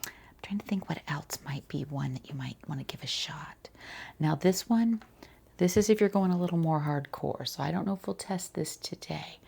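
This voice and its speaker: A woman quietly playing a skincare consultant in an ASMR roleplay, chatting casually and reassuringly in a soft, breathy voice that often slips toward a whisper. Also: lowish voice. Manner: casual, rambling, reassuring the client.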